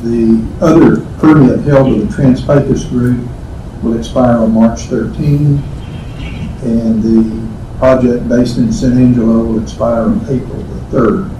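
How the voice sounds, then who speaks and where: A man's voice: medium pitch, fairly even intonation, unhurried. An American man giving a formal procedural report to a committee as a staff member. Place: indoor meeting room, picked up from afar. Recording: echoey meeting room, distant, noisy.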